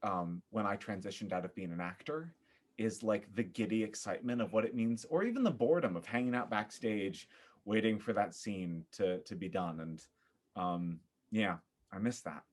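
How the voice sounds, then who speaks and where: A man chatting casually as a podcast guest, with an animated, theatrical way of talking. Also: on the low side, normal speed. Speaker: former actor.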